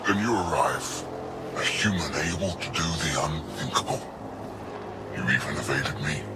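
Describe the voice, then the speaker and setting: An actor voicing an arrogant, menacing alien warlord in a video game, imperious and theatrical. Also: male voice, very deep voice, moderate pace, over loud music. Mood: contemptuous gloating. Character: arrogant, menacing ancient alien warlord gloating over his captive. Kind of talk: cinematic video game acting.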